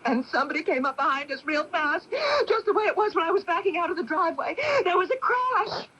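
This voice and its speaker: An actress playing an earnest, troubled young woman in a 1980s radio drama, speaking in a measured, deliberate way. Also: very high voice, expressive, muffled recording. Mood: anguished, recounting. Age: young adult. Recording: noisy 1980s AM radio broadcast.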